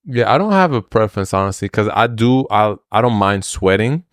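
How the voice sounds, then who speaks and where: A man's voice: fairly low pitch, animated, a little fast, no echo, clean studio sound. A man co-hosting a podcast, chatting in an upbeat, playful way. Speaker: podcast co-host. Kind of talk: casual chat.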